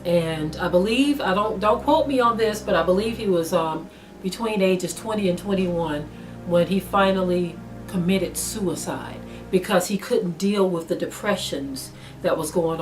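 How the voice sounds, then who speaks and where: A middle-aged woman delivers a spiritual teaching in a low, measured voice. She stops often to gather her thoughts with small ums. Soft music plays faintly behind her. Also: rough recording. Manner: measured, with frequent pauses and ums. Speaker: Bible teacher.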